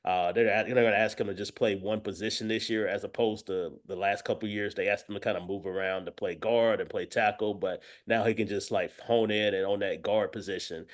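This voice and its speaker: A man with a fairly low voice talks through football analysis as a guest on a show. His delivery is casual and emphatic, and he often restarts and rewords his points as he thinks them out. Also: steady tone, brisk, hardly any pauses. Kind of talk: casual sports talk with analysis.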